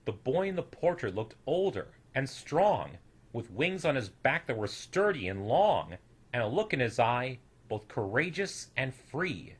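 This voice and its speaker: A man reading a rhyming children's tale aloud as an expressive storyteller. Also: mid-range voice, a little slow, breathy edge.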